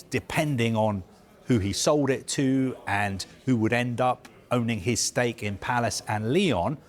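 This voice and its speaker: A man reporting football news, explaining a story in a measured, careful way with frequent fillers. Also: on the low side. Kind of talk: live news discussion.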